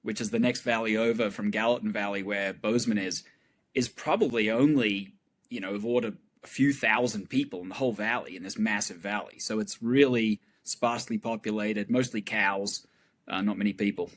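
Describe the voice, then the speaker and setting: A middle-aged man from Australia, a guest talking in a relaxed, rambling way with fillers. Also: on the low side, a little fast. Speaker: guest astrophysicist. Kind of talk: casual chat.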